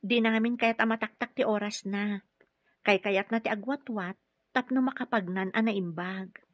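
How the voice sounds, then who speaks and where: A woman's voice: medium pitch, steady tone, normal speed, no echo, lightly acted. An actress with an Ilocano Filipino accent playing a caring but firm therapist and young mother in a radio drama. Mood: earnest. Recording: dull lo-fi radio drama, music underneath.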